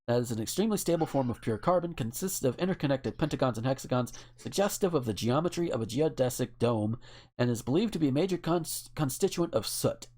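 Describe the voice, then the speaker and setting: Casual, sweary podcast host, mid-range pitch, very lively intonation, halting read of lists with joking asides. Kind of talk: casual chat, reading out word lists.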